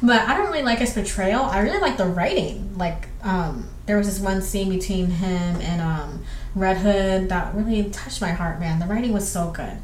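A young woman from southwestern Georgia with a Southern accent, hosting a casual solo podcast and rambling through listener questions with lots of fillers. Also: medium pitch. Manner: rambling, chatty, filler-heavy, quick bursts. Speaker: podcast host. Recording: echoey room with faint background noise.

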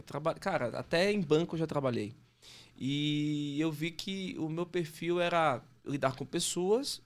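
Male, thirties, Bahian Brazilian accent, medium pitch, informal podcast guest, slangy, self-correcting, casual storytelling. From Salvador, Bahia, Brazil. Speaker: podcast guest, sales consultant. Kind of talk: casual interview chat, telling career story.

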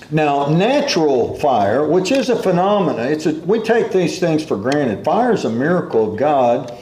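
A man preaching a sermon in an unhurried, folksy way, quoting scripture as he goes. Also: on the high side, a little mellow, echoey room, slight background noise.

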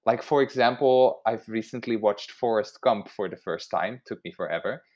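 A man's voice: on the low side, normal speed, a little mellow. A man from Switzerland giving an informal motivational talk as an activist, speaking in a conversational way. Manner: conversational, acts out imagined dialogue.

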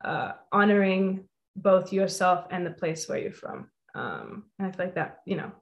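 A woman's voice: lowish voice, big pitch swings, moderate pace, gravelly, muffled recording. A young woman hosting an interview, talking in a thoughtful, earnest way and filling her sentences with "you know" and "I think". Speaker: host. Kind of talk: reflective conversation.

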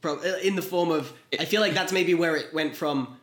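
A man's voice: a little high, a little fast, fairly bright tone, echoey room. A man in his late twenties from Belgium, a podcast guest chatting casually and loosely, with lots of "like". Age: late 20s. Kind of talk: casual chat.

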